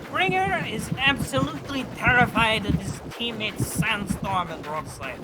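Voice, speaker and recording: A man's voice: high-pitched, expressive, measured pace. A man reading comedic character profiles in a fan dramatic reading. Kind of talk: comedic character-bio narration. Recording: very noisy amateur recording with clicks.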